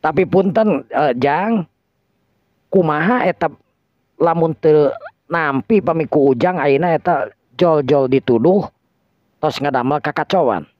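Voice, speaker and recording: A man's voice: on the high side, a little slow, long pauses, voice pushed up, close to an everyday voice. A man voicing a deferential, anxious village man in a radio drama, speaking politely and hesitantly, often repeating himself. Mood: questioning. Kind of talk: Sundanese radio drama acting. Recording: dull lo-fi recording with echo.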